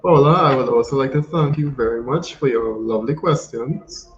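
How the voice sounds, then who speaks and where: A man's voice: medium pitch, moderate pace. Formal young male host, roomy dull sound. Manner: formal, polite moderator. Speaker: youth host. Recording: dull roomy mic with faint hiss.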